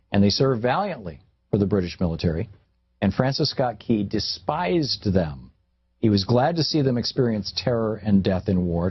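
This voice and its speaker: Husky man on a tinny, phone-like recording. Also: on the low side. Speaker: radio talk show host. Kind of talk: radio commentary, reading aloud from a newspaper column. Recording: tinny phone-like radio audio, roomy echo.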